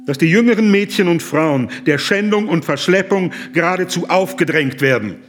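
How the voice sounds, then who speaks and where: An actor playing an earnest, indignant German humanitarian, speaking formally, deliberately and with moral urgency in a radio play. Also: male voice, sits high, natural voice. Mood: grave indignation.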